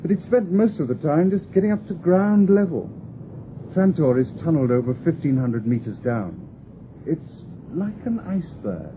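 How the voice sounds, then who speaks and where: An actor playing a glib, knowing city local with a British accent, slyly drawing out a stranger in a radio drama. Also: male voice, on the high side, very animated, moderate pace. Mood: chatty, wry. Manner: glib, wry, conversational. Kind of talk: BBC radio drama acting. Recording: dull lo-fi radio recording with hiss.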